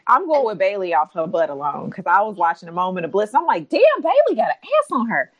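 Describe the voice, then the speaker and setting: Animated, playful woman podcaster. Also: medium pitch, fast talker, highs cut off. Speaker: podcast co-host. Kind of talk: casual chat about wrestling.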